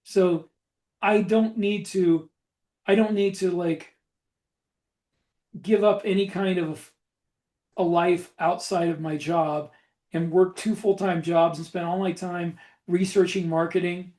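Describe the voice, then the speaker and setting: A man's voice: high voice, steady tone, takes it slowly, long pauses, darkish tone. A man co-hosting a podcast, talking shop casually and explaining things with repeated phrases for emphasis. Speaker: author and podcast co-host. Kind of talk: casual shop talk about book publishing.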